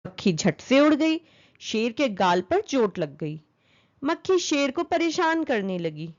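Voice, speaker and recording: A woman narrating a children's story as an expressive storyteller. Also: a little high, highs cut off.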